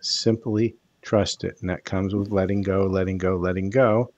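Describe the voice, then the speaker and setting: An older man hosting a live card reading over a headset, speaking in a calm, soothing, unhurried way. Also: low voice, highs cut off. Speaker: tarot card reader.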